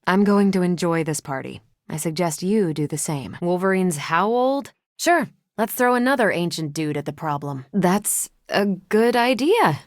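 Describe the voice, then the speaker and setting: Woman acting a confident, low-voiced female superhero. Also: expressive, normal speed, dry recording, clean studio sound, lightly acted. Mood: teasing, dry. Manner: wry, breezy, assured. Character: confident, wry female superhero soldier, warm and combative. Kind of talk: video game voice acting. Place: dry studio recording.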